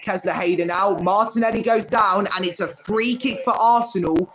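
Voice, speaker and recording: A man's voice: sits high, normal speed, a bit bright, poor audio. A man hosting a live football watchalong stream, calling each pass by player name and chatting with viewers between plays. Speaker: livestream host.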